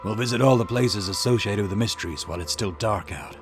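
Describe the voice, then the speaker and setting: Man acting police detective boss, low voice, gruff, steady, visual novel dialogue, light music behind. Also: quick pace, lightly acted. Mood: grave, calm. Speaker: let's player voicing game dialogue.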